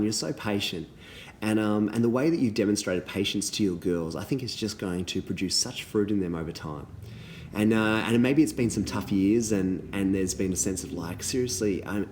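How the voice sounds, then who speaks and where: A man, a little hoarse from losing his voice, giving earnest thank-you messages to youth leaders with many ums and restarts. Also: sits low, darkish tone, a little hiss or hum.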